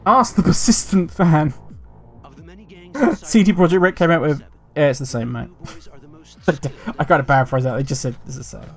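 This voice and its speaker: A man's voice: fairly high pitch, moderate pace, pauses often, faint music behind. A man hosting his gaming channel, chatting casually and reading a news article aloud with self-mocking asides. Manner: animated, self-mocking, jumps between loud asides and quiet mutters.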